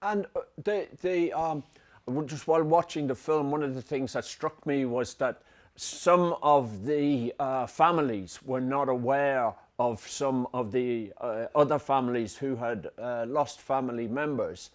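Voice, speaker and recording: A man's voice: medium pitch, measured pace. A man who directs a film festival, hosting a Q&A and asking questions hesitantly.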